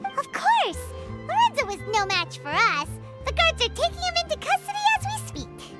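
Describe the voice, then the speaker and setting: Perky little game fairy, childlike squeak, extremely high pitch, very animated. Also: female voice, normal speed, over loud music, exaggerated voice. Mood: upbeat. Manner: perky, speaks of self in third person. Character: tiny cheerful floating fairy girl companion, childlike. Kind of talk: video game voice acting.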